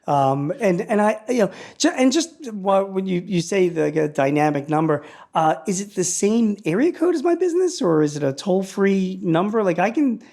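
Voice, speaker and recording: A man hosting a business podcast, asking questions in a halting way with many restarts. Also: high-pitched, big pitch swings, a little mellow. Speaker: podcast host. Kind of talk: interview questions.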